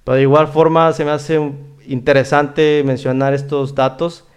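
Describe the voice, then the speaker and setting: A man's voice: fairly high pitch, level tone, moderate pace, smooth. A man with a Mexican accent hosting a casual interview, talking in a rambling way and often adding little tag questions. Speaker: podcast host.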